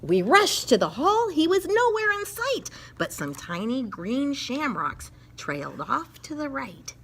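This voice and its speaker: A woman narrates a rhyming children's picture book as a dramatic storyteller. Her delivery is slow and very expressive, with a bright tone and wide swings in pitch.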